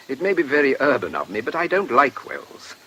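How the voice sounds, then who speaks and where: An actor playing a polite, precise, secretly troubled English gentleman in a radio drama, courteous and a little hesitant. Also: medium pitch, very animated, normal speed, a little hiss or hum, poor audio. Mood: courteous, measured.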